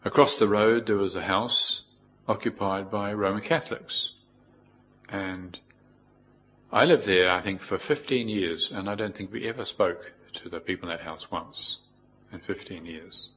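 A middle-aged man with a New Zealand accent giving an informal, reflective Buddhist talk in a measured way, with pauses. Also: sits low, fairly bright tone, low-quality recording. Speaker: Buddhist monk, teacher. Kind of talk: informal spoken dharma talk with personal anecdotes.

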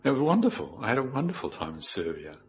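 A middle-aged man with a New Zealand accent giving an informal, reflective Buddhist talk in a measured way, with pauses. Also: mid-range voice, big pitch swings, a little hiss or hum. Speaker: Buddhist monk, teacher. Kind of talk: informal spoken dharma talk with personal anecdotes.

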